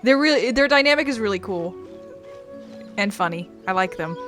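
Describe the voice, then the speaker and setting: A woman giving informal, halting gameplay commentary as the host of a gaming video. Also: mid-range voice, animated, fairly bright tone, faint music behind. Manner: informal, hesitant asides.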